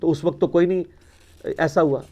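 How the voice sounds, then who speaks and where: A man's voice: fairly high pitch, steady tone, moderate pace, darkish tone. A man delivering an argumentative religious lecture in a mocking, rhetorical way, quoting narrations as he goes. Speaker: religious teacher answering questions.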